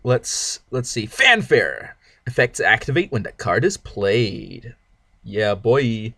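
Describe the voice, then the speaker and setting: A man's voice: medium pitch, very animated, slow pace, gravelly, sharp tone, slightly rough, no room sound. Rambling, jokey male game streamer. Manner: rambling, jokey, stammers and restarts. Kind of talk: casual gameplay commentary.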